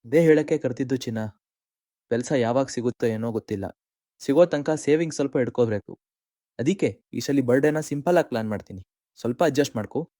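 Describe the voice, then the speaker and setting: A man's voice: medium pitch, a little fast, warm tone, no echo, studio quality, natural voice. A man with an Indian accent playing an earnest, dejected young employee, apologetic and hesitant, in short-film drama dialogue. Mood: weary, placating.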